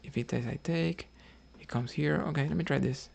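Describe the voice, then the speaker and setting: A man from Cuba, streaming his chess games and quietly thinking his moves out loud. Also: fairly high pitch, normal speed, vocal fry, slightly rough. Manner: thinking aloud, muttering moves quietly, hesitant.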